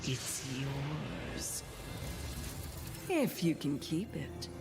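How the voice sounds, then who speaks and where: A woman playing a mysterious, wry storyteller in a video game, narrating cautionary fables in a measured, theatrical way. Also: sits low, very animated, vocal fry, over music, full character voice. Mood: wry, coaxing. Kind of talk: dramatic scripted game narration.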